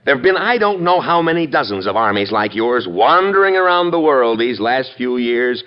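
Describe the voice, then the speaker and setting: An actor playing a calm, skeptical, shrewd mayor in classic radio drama, speaking in a measured, formal, dryly ironic way. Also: male voice, medium pitch, smooth, natural voice. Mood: dry, firm. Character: calm, wry, skeptical small-city mayor, civil but shrewd. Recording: dull vintage radio recording.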